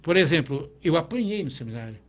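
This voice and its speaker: Middle-aged Brazilian man, teacher guest, slightly high voice, reflective, restarts a lot. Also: very animated, fast. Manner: reflective, with frequent restarts and tag questions. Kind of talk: conversational interview.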